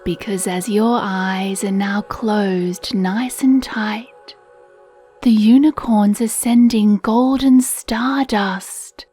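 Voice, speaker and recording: A woman reads a children's bedtime meditation at an extremely slow, unhurried pace. Her voice is soft, mellow and hushed, with a soothing, breathy gentleness. Quiet music plays behind her. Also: mid-range voice, steady tone. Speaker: meditation narrator. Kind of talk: children's sleep meditation storytelling, scripted narration.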